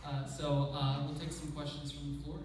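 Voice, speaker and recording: A man moderates a conference session and introduces the question period, stumbling over many uhs. His voice is heard from a distance in a hall with long, heavy echo. Steady background noise fills the space. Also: fairly high pitch, moderate pace. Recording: echoey hall from far off with noise.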